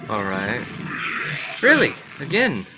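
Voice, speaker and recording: A man's voice: mid-range voice, huge pitch swings, takes it slowly, music in the background. A man giving casual, rambling gameplay commentary, talking to viewers and to himself. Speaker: gamer commentating own gameplay. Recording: clearly noisy amateur recording.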